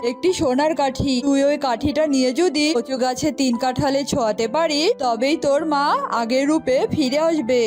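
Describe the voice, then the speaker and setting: A woman's voice: high voice, fairly even intonation, normal speed, music in the background, natural voice. A woman voicing a wise, kindly forest mystic in a children's cartoon, soothing and instructive. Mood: instructing. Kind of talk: Bengali children's cartoon voice acting.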